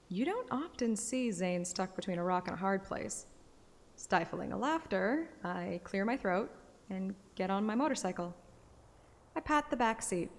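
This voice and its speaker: An actress playing a playful, plucky young woman hunter, narrating and voicing a first-person game story expressively. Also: mid-range voice. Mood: playful, teasing. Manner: expressive, teasing. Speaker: player-character narrator. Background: background music.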